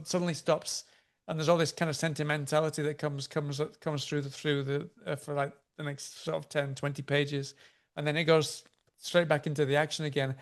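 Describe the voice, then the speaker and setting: A male interviewer asking long, rambling questions with repeated words and giving frequent short agreements. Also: a little high. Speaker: interviewer host.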